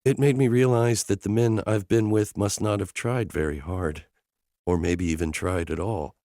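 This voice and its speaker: A man voicing an emotional young woman in an audiobook, speaking in a higher, softer voice. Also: expressive, normal speed, no room sound, clean studio sound. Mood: shaky. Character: emotional young woman, tearful and self-doubting. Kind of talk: audiobook character dialogue.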